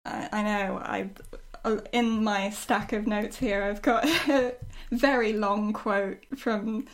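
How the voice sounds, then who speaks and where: A woman's voice: mid-range voice, steady tone, takes it slowly. Woman, medium register, amused and conversational, informal creative discussion. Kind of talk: casual discussion.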